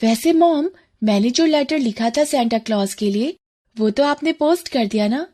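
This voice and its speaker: A woman voicing a spoiled, excitable rich little girl, childlike and self-assured, in a children's cartoon. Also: sits high, normal speed. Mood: excited.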